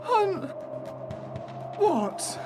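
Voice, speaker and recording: A woman's voice: very high voice, sing-song, very slow, full character voice. An actress playing a sceptical, flustered, sarcastic woman in a radio play, with music behind her. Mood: incredulous. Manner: incredulous, sarcastic, exasperated. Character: sceptical, flustered young woman, sarcastic London newcomer fleeing werewolves. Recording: loud music behind the dialogue.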